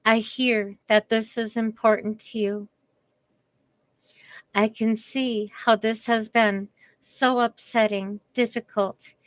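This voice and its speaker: Slow earnest middle-aged woman, bright tone, kind of strained voice, dry close sound. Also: mid-range voice, dry recording, poor audio. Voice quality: voice strained after a vocal cord paralysis. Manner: earnest, deliberate, with careful pauses. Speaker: speaker giving a message on communication. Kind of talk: slow reflective personal talk.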